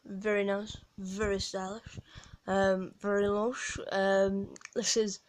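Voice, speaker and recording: A woman's voice: medium pitch, level tone, takes it slowly. A woman with a Yorkshire accent gives a rambling, casual walkthrough of a game from home, full of ums and restarts. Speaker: gaming guide creator.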